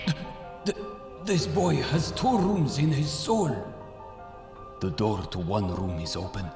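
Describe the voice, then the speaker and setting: A man's voice: medium pitch, animated, slow. A man playing a solemn, menacing mystical Egyptian avenger in an audio drama, speaking in a grave, portentous manner. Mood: astonished, intrigued. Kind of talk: dramatic audio manga acting. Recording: voice over prominent dramatic music.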